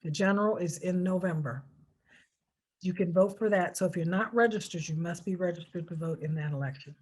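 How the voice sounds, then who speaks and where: A woman's voice: fairly low pitch, fairly quick, a little mellow, breathy edge. Emphatic American woman giving step-by-step instructions. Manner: emphatic, repeats key points for stress. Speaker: presenter of a voter instruction video. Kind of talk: informal step-by-step public instruction.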